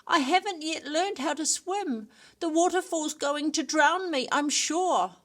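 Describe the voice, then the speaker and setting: A woman's voice: high-pitched, a little slow, voice pushed up. A woman voicing a frightened young cheetah in a storybook, pleading in panic. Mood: panicked.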